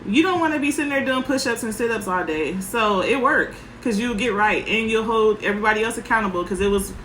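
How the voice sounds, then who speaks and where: A woman parenting coach with a soft voice, giving frank advice in short, emphatic sentences. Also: a little high, expressive, fast, reverberant, a little hiss or hum, rough recording. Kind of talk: casual advice talk.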